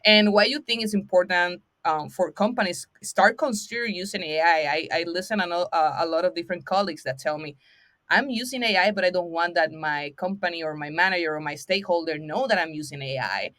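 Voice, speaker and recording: A woman's voice: on the low side, fairly quick, a bit bright, dry recording. Enthusiastic woman host, dry sound. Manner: enthusiastic, laughs often, non-native phrasing. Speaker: podcast host. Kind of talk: casual interview hosting.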